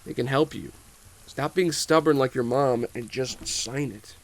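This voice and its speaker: A middle-aged father in an audio movie script, read by a man. He is guarded and persuasive, speaking plainly in a creaky mid-range voice. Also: medium pitch, unhurried, poor audio. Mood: coaxing, guarded.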